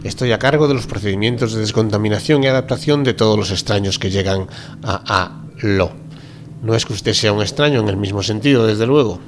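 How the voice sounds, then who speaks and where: A man acts out a deferential ship's doctor in a radio drama. He has a lowish voice and explains things with formal, fussy courtesy. There is faint background noise around him. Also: fairly quick, natural voice. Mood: polite, apologetic. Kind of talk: amateur radio drama reading.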